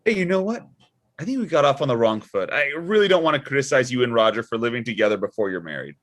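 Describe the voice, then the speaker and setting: Actor playing a sheepish, affable older deacon. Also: male voice, mid-range voice, sing-song, fast talker. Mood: sheepish, defensive. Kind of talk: comedy script table read.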